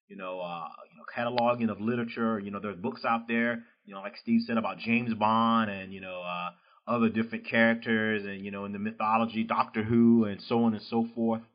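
A male podcast host with a Southern US accent interviewing an author in a loose, chatty way, full of "you know" fillers. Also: fairly low pitch. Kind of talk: casual interview chat. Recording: dull lo-fi sound.

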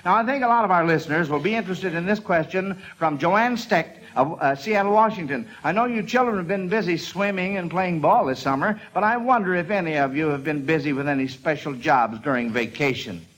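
A man's voice: a little high, animated, brisk, hardly any pauses, fairly bright tone, rough recording. A man hosting an old-time radio quiz for children, speaking with hesitations in a vintage broadcast recording. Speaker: quiz show host.

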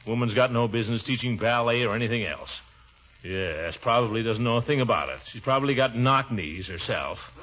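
An actor playing a pompous, big-hearted middle-aged uncle in a blustery, theatrical 1940s radio comedy style. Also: male voice, mid-range voice, animated, brisk, a bit bright, a little hiss or hum, natural voice. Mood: blustering. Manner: blustery, theatrical, swooping delivery. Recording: muffled old radio broadcast.

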